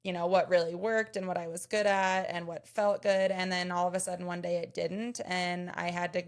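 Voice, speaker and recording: A woman's voice: fairly low pitch, flat delivery, fairly quick. A woman in her thirties, a podcast co-host, chatting casually and earnestly, explaining her points with everyday examples. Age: 30s. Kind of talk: casual chat.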